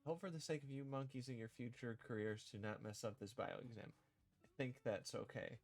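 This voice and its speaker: A man casually streaming as he works on a game script, reading lines aloud and rewording them in a halting way. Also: mid-range voice, fairly even intonation, soft background music. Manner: halting, thinking out loud, repeating and rewording lines. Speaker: streamer developing own visual novel.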